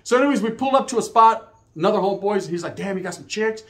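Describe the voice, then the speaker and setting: A middle-aged man with a Chicano English accent and a slightly high voice spins street stories. He talks casually and profanely, drops in bits of Spanish, and acts out each side of the conversations. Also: expressive, fast. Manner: slangy, profane, Spanish phrases mixed in, checks in with "right?". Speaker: storyteller. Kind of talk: casual street storytelling, acting out dialogue.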